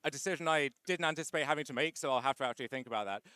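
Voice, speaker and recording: Bright, crisp-voiced man being interviewed. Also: medium pitch, very fast, dry recording. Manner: chatty, wry, with many "uh" fillers. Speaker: tournament winner being interviewed. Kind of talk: casual post-match interview.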